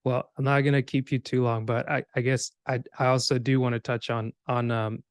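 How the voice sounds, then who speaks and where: Even-toned man hosting a casual podcast. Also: medium pitch, fairly quick, clear, resonant tone, dry recording, clean studio sound. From Cameroon, grew up in Georgia, USA. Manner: informal, rambling, acts out imagined remarks. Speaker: podcast host.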